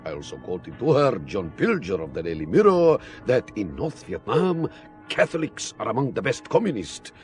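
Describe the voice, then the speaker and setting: An actor playing a suave, worldly Russian KGB colonel in a radio spy drama, urbane and deliberate with dry amusement. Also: male voice, on the low side, huge pitch swings, soft background music. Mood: playful, flustered. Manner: urbane, deliberate, drily amused, formal phrasing.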